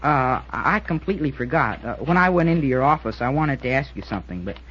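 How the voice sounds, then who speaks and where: An actor playing an excitable, polite boy cadet who stammers and gushes in an old-time radio drama. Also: medium pitch, fast talker. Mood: polite, earnest. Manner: stammering, gushing, respectful. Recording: muffled, noisy old radio recording.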